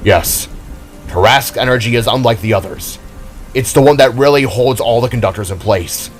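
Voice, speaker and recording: A man's voice: medium pitch, normal speed, soft background music, natural voice. A man voicing a stern, knowledgeable older brother, a glowing energy warrior in an audio drama, speaking in a grave, explanatory way. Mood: grave, explaining.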